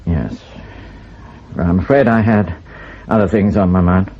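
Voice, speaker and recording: An actor playing a curt, defensive intelligence officer under pressure in a radio spy drama, with a husky, clipped delivery. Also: male voice, deep voice, a little slow, lo-fi sound, slight background noise.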